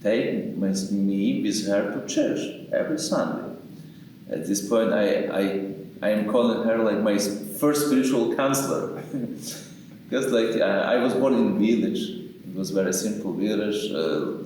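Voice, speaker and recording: A man from Ukraine with a Ukrainian accent, a priest answering interview questions and telling his story in halting English, repeating words as he searches for them. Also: lowish voice, darkish tone. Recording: echoey room, mic far off, faint noise.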